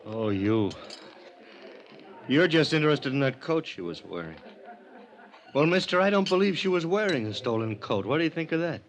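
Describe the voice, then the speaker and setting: A man playing a grieving, defensive ex-husband in a 1950s radio drama, speaking in a halting, plaintive, emotional way. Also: on the high side, very animated. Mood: indignant.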